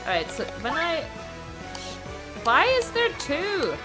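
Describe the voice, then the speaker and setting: A woman chatting casually as a game streamer, in a rambling, animated way with casual swearing. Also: high voice, takes it slowly. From Germany. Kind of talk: casual live gameplay chat. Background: music.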